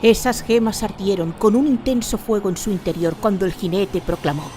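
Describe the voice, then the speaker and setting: A woman's voice: mid-range voice, moderate pace, warm tone, slight background noise. A woman narrating a fantasy radio drama in a dramatic, scripted storytelling style. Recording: music behind the narration.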